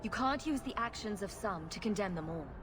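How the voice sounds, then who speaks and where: Bold female adventurer played by a woman, animated pitch, wry and direct, fantasy game dialogue, noisy backdrop. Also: fairly quick, close to an everyday voice. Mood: challenging. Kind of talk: dramatic fantasy game acting.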